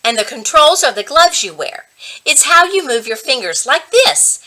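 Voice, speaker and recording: A woman voicing a boastful, excited fourth-grade boy in a children's book read-aloud. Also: high voice, moderate pace, voice pushed up, exaggerated voice. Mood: excited, bragging. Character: fourth-grade boy bragging about his new game console.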